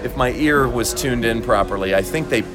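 A man being interviewed as a voice actor, chatting casually and explaining his craft in a halting, thoughtful way. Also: mid-range voice. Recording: convention recording with loud background music.

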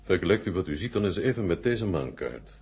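An actor playing a courteous, shrewd Soviet marshal in a radio drama, speaking in a measured, formal, self-assured way. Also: male voice, low voice, sing-song, breathy edge, full character voice. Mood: grave, explaining. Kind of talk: 1970 Dutch radio drama acting. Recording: dull 1970 radio recording.

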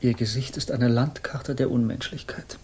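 An actor playing a solemn young hippie poet who speaks in a lofty, formal way, in 1960s radio drama style. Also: male voice, medium pitch, fairly even intonation, moderate pace, a little mellow, breathy edge, natural voice.

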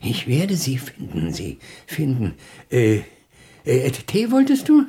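An actor playing a fussy, muddled old hotel lodger who stammers and repeats himself in a husky voice, in a German radio play. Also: male voice, mid-range voice, wildly swooping pitch, takes it slowly, mellow, exaggerated voice. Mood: muddled, apologetic.

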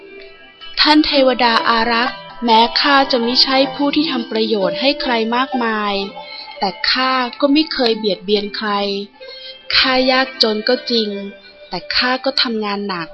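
A poor, hungry father from a storybook speaks humbly, as one worn down by hardship, read by a woman. The voice sits fairly high. Clear music plays behind it, and the recording is a little dull. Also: unhurried, close to an everyday voice. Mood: pleading, aggrieved. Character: starving poor father, humble, despairing yet grateful. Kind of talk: storybook character reading.

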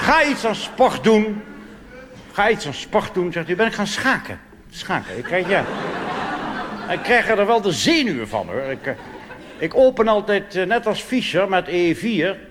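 A Dutch cabaret comedian performing a blustering, pompous reactionary army officer in a rambling stage monologue. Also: male voice, sits high, big pitch swings, unhurried, long pauses, crowd noise. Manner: pompous, rambling, tag questions. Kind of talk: stand-up cabaret monologue in character. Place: theater stage before a live audience. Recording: live stage recording with hall reverb and hiss.

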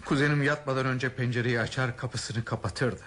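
A man's voice: mid-range voice, fairly even intonation, moderate pace, a bit bright, natural voice. A man acting in a radio play as an older head of a household, grieving and agitated, speaking in a pleading, lamenting way. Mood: grieving, regretful.

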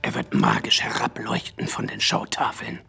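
Gravelly, swooning, suave film impresario. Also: male voice, extremely low pitch, normal speed, exaggerated voice. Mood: rapturous, hushed. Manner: flattering, theatrical, breathy near-whispers. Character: suave, effusive male film distributor courting an actress. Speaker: actor in a radio play. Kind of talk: radio drama dialogue.

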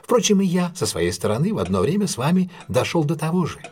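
An actor playing a composed, analytical male detective in an audio drama, explaining things in a deliberate, self-assured way. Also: fairly high pitch, big pitch swings, a little mellow, husky. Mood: composed, explanatory. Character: composed, analytical male English detective recounting a solved case.

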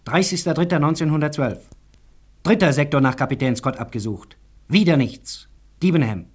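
An actor voicing a rescue team member reading a brisk official report. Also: male voice, fairly high pitch, darkish tone, breathy edge. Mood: matter-of-fact.

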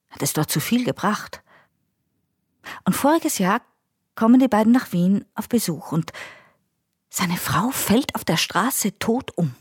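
A woman's voice: fairly low pitch, slightly rough, dry recording, clean studio sound. An actress playing a chatty Austrian care-home nurse who gossips dotingly about her elderly residents in a radio play monologue. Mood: confiding.

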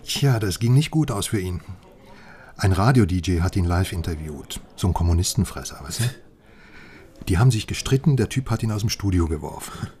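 An actor playing a wry, confiding informant, chatting familiarly in a German radio crime drama. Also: male voice, low-pitched, animated, brisk, darkish tone. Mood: confiding, sardonic. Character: male informant, familiar and wryly amused.

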